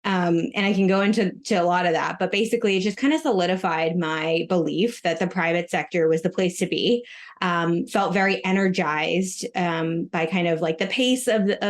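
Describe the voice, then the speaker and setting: A woman in her thirties, born in Russia and raised in Maryland, talking casually as a podcast guest with lots of ums and likes. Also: on the low side. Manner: chatty, many ums and likes. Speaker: guest, retail executive. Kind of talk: casual interview talk.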